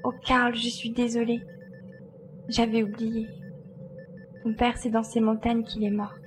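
A woman's voice: fairly high pitch, flat delivery, unhurried, faint music behind, lightly acted. A woman playing a determined, commanding glider pilot in a French audio drama. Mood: apologetic. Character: determined female glider pilot searching for her babies.

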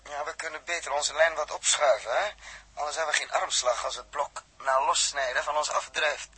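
Bright, brisk spaceship captain. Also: male voice, on the high side, fairly even intonation, husky, exaggerated voice. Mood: businesslike. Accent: Netherlands Dutch. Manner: brisk, commanding, matter-of-fact. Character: brisk, practical male spaceship captain in command. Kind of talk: 1960s Dutch radio drama acting. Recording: 1960s radio drama recording.

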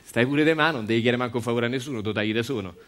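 A man's voice: mid-range voice, very fast, fairly bright tone, natural voice. A man playing a blunt, cynical factory worker in a monologue, talking colloquially and insistently with a Roman accent. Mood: insistent, persuading. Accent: central Italian, Roman.

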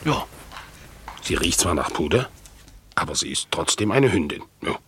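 Low, husky, ponderous dog. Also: male voice, very animated, moderate pace, a bit bright, no echo, heavily acted. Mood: curious, deliberate. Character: sedate, naive male dog with bulging eyes. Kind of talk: German radio play acting.

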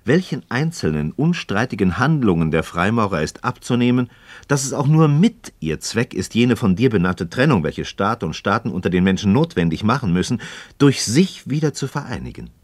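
An actor playing an inquisitive, skeptical but courteous friend in a radio drama, speaking in a deliberate, questioning way. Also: male voice, fairly low pitch, sing-song, no echo. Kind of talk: scripted philosophical dialogue in German radio drama.

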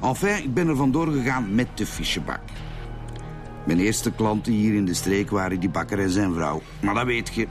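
An actor playing a cold, menacing Flemish armed robber in a radio drama, matter-of-fact about violence. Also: male voice, on the low side, lively intonation, moderate pace, close to an everyday voice. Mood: matter-of-fact confession. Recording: music behind the voice.

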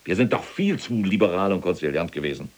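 An imprisoned SS general for an audio drama, a self-justifying fanatic of mature years. His voice sits very low and has a husky rasp. He speaks pompously, as if lecturing, and bristles at contradiction. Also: expressive, fast. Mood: bitter, ranting. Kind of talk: German audio drama acting, monologue and argument.

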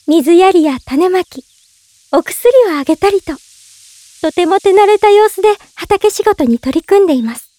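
A woman playing a gentle, polite young woman in love, narrating in character for a drama CD with sweet earnestness. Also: extremely high pitch, animated, normal speed, dry recording. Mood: fond, serene.

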